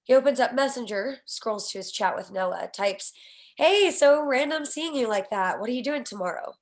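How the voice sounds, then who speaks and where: A woman with a fairly high voice reads out screenplay directions at a table read. Her delivery is expressive and wry, setting each scene as a narrator. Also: moderate pace. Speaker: stage directions reader.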